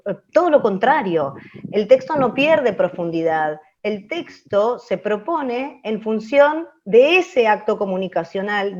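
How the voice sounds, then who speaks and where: A woman's voice: mid-range voice, darkish tone, lo-fi sound. A woman explaining in an open class as a teacher, reflective and emphatic, repeating key phrases for stress. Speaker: radio language teacher.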